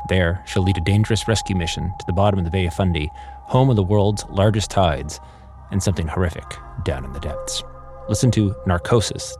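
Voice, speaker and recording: Low-voiced male trailer announcer. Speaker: promo announcer. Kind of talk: dramatic scripted horror podcast trailer.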